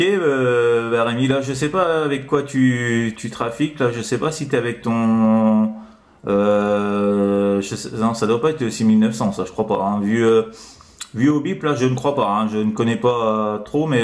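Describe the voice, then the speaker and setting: A man chatting casually as a radio operator, in a halting, repetitive way full of verbal tics. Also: on the low side, echoey room. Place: indoors in an apartment by a window.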